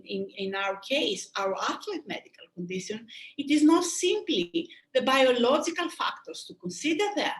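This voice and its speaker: A woman with a Greek accent presenting a sports medicine webinar, earnest and thoughtful, pausing often with "um" and restarting her phrases. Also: fairly high pitch, reverberant, low-quality recording. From Greece.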